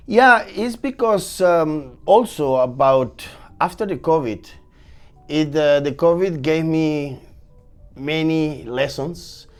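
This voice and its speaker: Animated Venezuelan-accented man telling stories. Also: slow pace, faint music behind. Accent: Venezuelan Spanish. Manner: animated, energetic storytelling with swings in pitch. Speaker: chef guest. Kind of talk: casual podcast interview.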